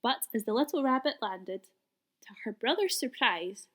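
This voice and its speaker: A woman reading a children's story aloud in an expressive, deliberate way. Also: fairly high pitch. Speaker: storybook reader.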